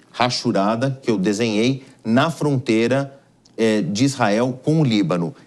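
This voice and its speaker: Male news commentator, Brazilian accent, medium pitch, instructive delivery that stresses key points. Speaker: news analyst. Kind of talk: live explanatory news commentary.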